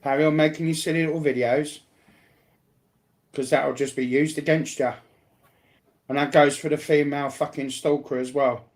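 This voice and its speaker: A man's voice: on the high side, steady tone, moderate pace, long pauses. A man talking personally and bluntly, repeating phrases to drive his points home. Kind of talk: emphatic personal talk, partly confrontational.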